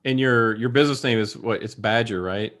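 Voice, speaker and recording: A man's voice: lowish voice, fairly quick, a bit bright. Friendly male podcast host. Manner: friendly, loose questioning with restarts. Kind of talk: casual interview.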